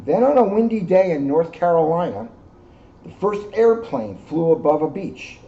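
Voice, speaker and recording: Male storybook reader, police officer, relaxed warm timbre, lo-fi recording. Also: on the high side, moderate pace. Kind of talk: children's storybook read-aloud with casual asides. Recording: dull lo-fi sound, faint background noise.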